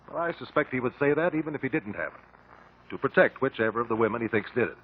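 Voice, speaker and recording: Calm, precise investigator, 1950s radio drama, animated pitch, deliberate questioning, thin lo-fi broadcast. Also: male voice, slightly rough. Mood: reasoning, firm. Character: calm, shrewd, probing male investigator. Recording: muffled lo-fi 1950 radio broadcast.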